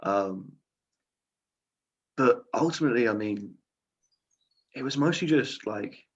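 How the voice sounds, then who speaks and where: A man's voice: medium pitch, expressive, slow pace, slightly rough, lo-fi sound. A young man speaking as a podcast guest, hesitant and rambling with lots of fillers. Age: young adult. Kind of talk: casual interview.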